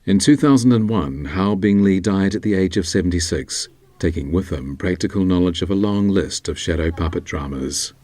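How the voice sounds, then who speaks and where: Low-pitched male documentary narrator. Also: moderate pace, a little mellow. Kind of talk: scripted narration.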